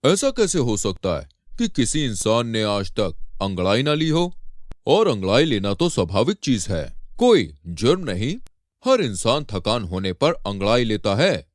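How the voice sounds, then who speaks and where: A man's voice: fairly low pitch, big pitch swings, unhurried, no room sound, studio quality. A man voicing a proud, easily offended emperor in a storybook tale, with an imperious, questioning manner. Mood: puzzled, questioning. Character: Emperor Akbar, proud, easily offended male Mughal ruler. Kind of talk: animated Hindi storybook acting.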